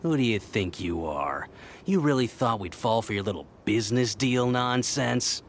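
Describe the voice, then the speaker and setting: An actor playing a sly, mocking, falsely friendly tavern schemer with a theatrical, taunting manner in a video game. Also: male voice, mid-range voice, animated, normal speed, a little hiss or hum, close to an everyday voice. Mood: gloating, mocking. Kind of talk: anime-style video game voice acting.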